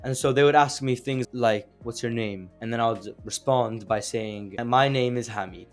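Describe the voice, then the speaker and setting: A young man whose first language is Azeri talks through a language lesson in an easy, conversational way, dropping in fillers and asking rhetorical questions. His voice sits at an ordinary middle pitch. Faint music plays underneath. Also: moderate pace. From Azerbaijan. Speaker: language teacher. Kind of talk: casual explanatory video lesson.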